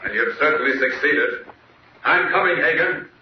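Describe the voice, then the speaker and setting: An actor playing a valiant, commanding superhero in a 1940s radio serial, with a brisk, tough, challenging delivery. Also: male voice, on the high side, animated, natural voice. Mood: confident, threatening. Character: valiant superhero man, fearless and commanding, taunting villains. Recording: dull, noisy, echoey 1940s radio recording.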